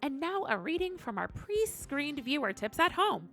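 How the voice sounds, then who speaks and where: A woman voicing a shameless, cheeky little goblin reading out tips in a squeaky comic voice. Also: very animated, moderate pace, a bit bright, heavily acted. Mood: brazen. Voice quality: squeaky, creaky. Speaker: reader of viewer tips. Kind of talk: comedic character reading. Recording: clear music bed behind.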